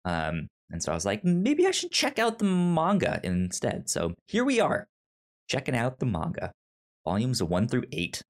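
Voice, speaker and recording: A man's voice: medium pitch, wildly swooping pitch, moderate pace. A man hosting a casual podcast chat about manga, often stammering and stumbling over his words. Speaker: podcast host.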